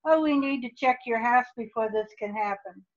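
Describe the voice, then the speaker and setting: Woman parent, hesitant and hopeful, higher voice, muffled sound. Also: moderate pace. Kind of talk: group meeting talk.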